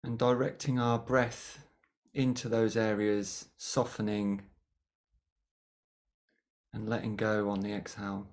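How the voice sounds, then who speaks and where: Gentle, unhurried meditation guide. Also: medium pitch, little pitch movement. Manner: gentle, unhurried, with long pauses. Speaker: meditation teacher.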